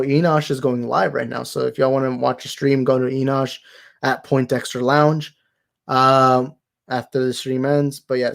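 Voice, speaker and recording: A young man hosting a movie talk livestream, tired and slightly raspy, rambling and thanking his viewers. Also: mid-range voice. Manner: rambling, grateful, repeats himself. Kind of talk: casual livestream sign-off chat.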